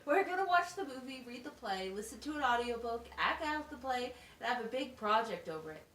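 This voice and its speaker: Lively high-voiced female teacher, acted by a woman. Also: brisk. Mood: instructive, upbeat. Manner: instructional, simple step-by-step explaining with questions. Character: patient, encouraging female special education teacher at wizard school. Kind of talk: amateur puppet show acting. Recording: echoey, distant mic with faint noise.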